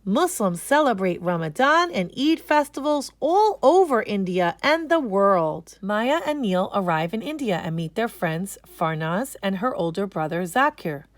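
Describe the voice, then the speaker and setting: A woman narrating a children's storybook aloud, expressive and explaining things for young listeners. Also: medium pitch, unhurried, hardly any pauses, no echo.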